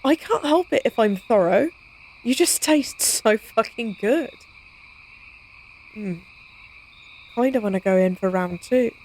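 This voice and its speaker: A cocky female vampire with a fairly high voice, acted by a woman, talks casually in an intimate audio drama. She is teasing and wry, with the flippant air of a monster who loves science. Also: animated, takes it slowly, pauses often, a little mellow, full character voice. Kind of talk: intimate audio roleplay, conversational acting.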